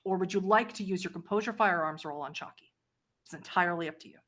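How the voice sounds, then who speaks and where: A woman's voice: lowish voice, fast, fairly bright tone. A woman acting as game master, narrating a tabletop roleplaying game, explaining rules and describing scenes to players.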